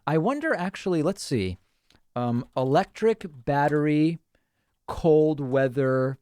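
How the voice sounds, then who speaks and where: A man's voice: a little high, animated, slow pace, darkish tone, clear, resonant tone, dry recording. A man hosting a casual livestream, chatting and thinking aloud as he reads out viewer comments on a close microphone. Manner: chatty, thinking aloud with restarts and small laughs. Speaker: host.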